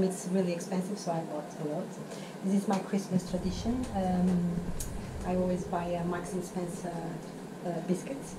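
A woman's voice: medium pitch, recorded from a distance, background noise. A middle-aged woman chatting casually in a sailing vlog, showing things to a handheld action camera. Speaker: sailing vlogger. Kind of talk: casual travel vlog chat. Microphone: action camera held close.

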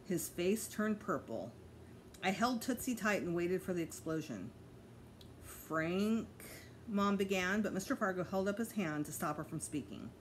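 Female storyteller, middle-aged, lively intonation, expressive read-aloud for children, slight room noise on a home recording. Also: fairly low pitch, normal speed, poor audio. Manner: careful, expressive reading with voiced dialogue. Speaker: teacher reading aloud to pupils.

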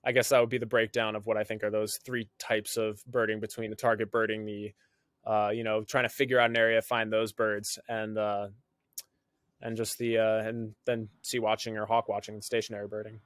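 A man's voice: fairly low pitch, brisk, no room sound, studio quality. A young man, co-hosting a birding show, explaining at ease over a video call from his living room, with plenty of fillers. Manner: relaxed, loose, lots of fillers. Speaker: birding podcast co-host. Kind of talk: casual explanatory chat. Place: living room with framed pictures. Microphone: video call webcam.

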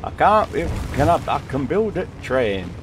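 A man's voice: on the high side, big pitch swings, measured pace, background noise. A man in his thirties with a Yorkshire accent, giving chatty, joking commentary on a tank game from a small room at home. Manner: chatty, jokey, sings and hums along. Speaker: gaming streamer. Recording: game sound under the voice.

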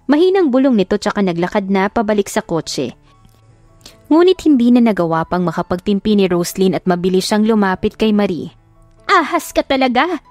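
A woman's voice: mid-range voice, lively intonation, brisk, warm tone, dry recording. A woman narrator reading a scripted dramatic audiobook story, with music behind her.

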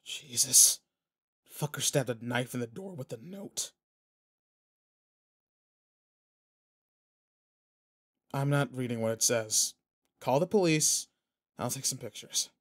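A man acting in an audio roleplay as a protective, teasing, rough-edged but kind pretend boyfriend, talking intimately and casually. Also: medium pitch, moderate pace, a bit bright, lightly acted. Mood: alarmed, angry.

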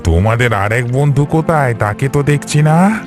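A man voicing a stern, ominous village caretaker in a horror cartoon, speaking gravely. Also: medium pitch, animated, music in the background, lightly acted. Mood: grave warning. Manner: slow, grave, warning.

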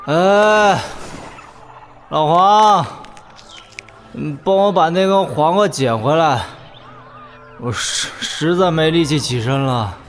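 A spoiled young nobleman from a martial-arts radio play, high in pitch and slow in pace. He is famished, cheeky and irritable, grumbling and exclaiming over faint music. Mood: weary, pleading. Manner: exclamatory, grumbling, drawn-out complaining. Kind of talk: Chinese audio drama acting.

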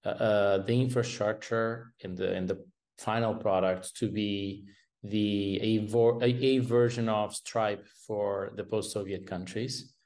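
A man speaks in a fairly low voice over a video call from a room at home. He talks in a hesitant way, full of fillers and false starts. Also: darkish tone. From Portugal. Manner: hesitant, many restarts and fillers. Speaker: venture capital guest. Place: home room with painting behind.

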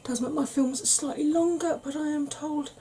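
A woman in her forties, a makeup vlogger, talking through an ASMR makeup tutorial slowly and gently in a soft, breathy voice that often drops to a whisper, recorded at home. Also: high-pitched, steady tone, slight background noise. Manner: slow, gentle, patient explaining. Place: small home room.

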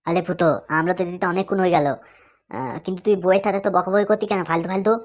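A woman's voice: medium pitch, steady tone, racing, natural voice. A woman voicing a bossy, chatty male friend in a comic cartoon, given to exclamations. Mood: chiding.

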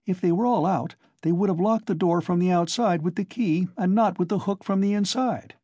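A man voicing a cheerful, quick-witted young man in an audiobook, ringing and insistent. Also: high voice, warm tone, dry recording, pitched above the performer's own voice. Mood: urgent, insistent.

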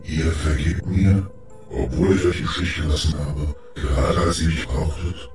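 A giant talking tiger in a fantasy audio drama, with an extremely deep, echoing voice. The beast is meek and devoted, speaking slowly and humbly to its master. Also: male voice. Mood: pleading. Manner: slow, pleading, submissive. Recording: echoey voice over music.

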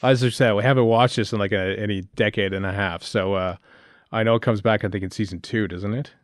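A man's voice: lowish voice, fairly even intonation, fairly quick, no room sound, clean studio sound. A man co-hosting a podcast, chatting casually about television in a hesitant, rambling way. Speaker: podcast co-host. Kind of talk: casual TV commentary chat.

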